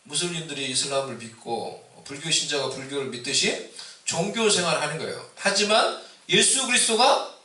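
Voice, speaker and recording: Male pastor from Seoul, Easter sermon, ringing bright tone, distant mic in an echoey church hall. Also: animated, moderate pace. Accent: Seoul Korean with a mix of Busan dialect. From Seoul, Korea. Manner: rises loud and high on exhortations, asks the congregation questions.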